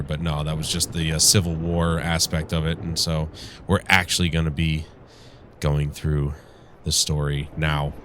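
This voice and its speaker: Male game commentator, low register, stuffed-up strained voice, rambling wry delivery over background ambience. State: congested from flu, strained voice from yelling. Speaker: gaming show host. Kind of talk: casual let's play commentary. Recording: clear background noise.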